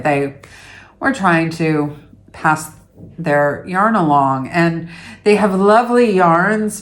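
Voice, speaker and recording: Slow, chatty middle-aged Canadian woman. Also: fairly low pitch. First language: English. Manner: chatty, rambling, self-deprecating with fillers. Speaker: yarn vlogger host. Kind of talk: casual chat to camera. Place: dining room at home.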